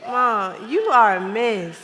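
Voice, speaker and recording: A woman's voice: fairly high pitch, takes it slowly, crowd noise, noisy background. A woman playing a scandalized, exasperated grown daughter in a comedic stage play, protesting to her mother in a theater hall. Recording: echoey theater hall, music behind.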